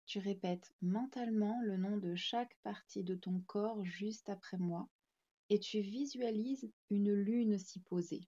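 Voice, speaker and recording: Mellow-voiced woman guiding a calm meditation. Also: mid-range voice, a little slow, lo-fi sound. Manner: calm, soothing, instructing gently in short phrases. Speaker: yoga nidra meditation guide. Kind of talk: guided relaxation meditation narration.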